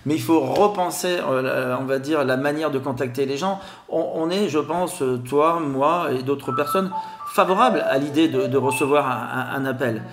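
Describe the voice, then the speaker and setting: A man with a Grenoble accent, a guest explaining telemarketing in a podcast interview, with a lively sing-song way of talking. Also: mid-range voice, moderate pace, reverberant, over music. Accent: Grenoble French.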